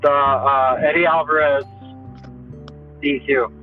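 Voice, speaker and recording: A man's voice: fairly high pitch, steady tone, takes it slowly. A man hosting a podcast in casual, slangy sports banter, often repeating phrases in a bro-ish way. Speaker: podcast host. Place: remote phone call. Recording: tinny phone line, faint music.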